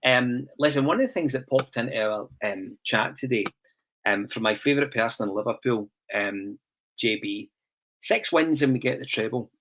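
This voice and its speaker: A man's voice: mid-range voice, normal speed. A man with a Scottish accent hosting a casual football podcast and putting questions to his panel. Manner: relaxed, asks the panel questions. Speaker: podcast host.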